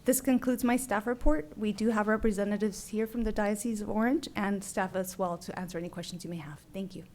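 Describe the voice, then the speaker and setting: A woman's voice: mid-range voice, fairly even intonation, a little mellow. Woman formally reading a staff report. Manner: formal, measured, reads from a script. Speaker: city staff deputy director.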